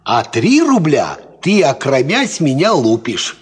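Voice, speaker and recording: Very animated husky peasant man. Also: medium pitch, measured pace, exaggerated voice. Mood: confident explaining. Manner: folksy, animated, instructive. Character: worldly, cocksure peasant farmhand explaining to simpler folk. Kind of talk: 1950s Soviet radio play acting.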